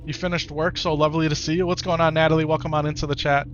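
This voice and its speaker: A man's voice: on the high side, flat delivery, rapid-fire, lo-fi sound, soft background music. A man with an Upper Midwest American accent, chatting casually to his viewers during a live game stream and often repeating himself. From Wisconsin, United States. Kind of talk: casual live-stream chat over gameplay.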